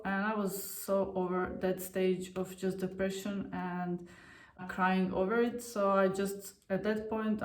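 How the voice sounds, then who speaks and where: A woman in her thirties, originally from Croatia, shares a personal story in a slow, deliberate, candid way, pausing with fillers. Her voice sits at an ordinary middle pitch. The sound is roomy and echoey, picked up from some distance away. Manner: unhurried, candid, with many fillers. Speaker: vlogger.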